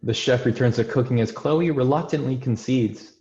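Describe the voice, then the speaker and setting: A man's voice: mid-range voice, normal speed, a little mellow, a little hiss or hum. A man hosting a table read, giving scripted introductions and reading screenplay stage directions. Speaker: host and stage-direction narrator. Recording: roomy, dull lo-fi sound.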